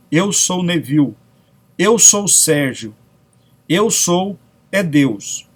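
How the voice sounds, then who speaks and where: High-pitched Brazilian man reading aloud. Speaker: narrator reading self-help spiritual texts. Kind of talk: read-aloud spiritual lecture.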